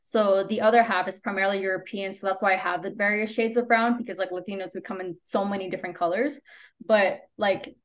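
A woman's voice: medium pitch, steady tone, fast. A woman whose first language is Spanish, chatting casually as a podcast guest, peppering her informal talk with "like". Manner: informal, frequent "like" as filler. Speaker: podcast guest, copywriter and business owner. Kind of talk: casual interview conversation.

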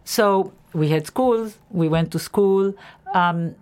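A woman in her seventies from Israel, a teacher, telling her life story in an interview with earnest, animated restarts. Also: fairly low pitch, slow, clear, resonant tone. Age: 70s.

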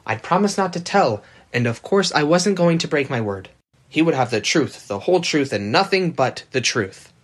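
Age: young man. Figure: a woman doing an indignant hot-tempered youth. Quality: husky, lowered. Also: expressive, normal speed. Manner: sulky, defiant.

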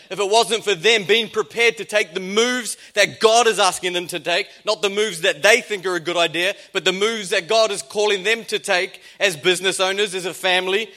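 A man's voice: high voice, sharp tone. A man with a New Zealand accent, a pastor giving a conversational sermon with jokes and emphatic repetition.

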